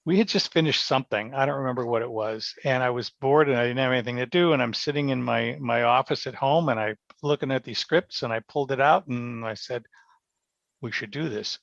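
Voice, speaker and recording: A man telling stories casually as an interview guest, animated and self-effacing. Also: mid-range voice, fairly quick, creaky. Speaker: guest, radio theatre director. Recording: dull, muffled lo-fi roomy sound.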